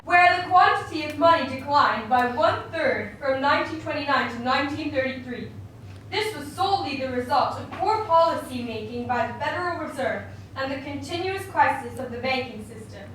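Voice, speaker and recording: Woman voicing a high-pitched economist puppet, very echoey. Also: expressive, moderate pace, fairly bright tone. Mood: lecturing, assured. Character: famous male monetarist economist puppet, opinionated and dismissive. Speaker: interview guest. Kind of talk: scripted puppet show interview. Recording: very echoey room, distant mic, faint noise.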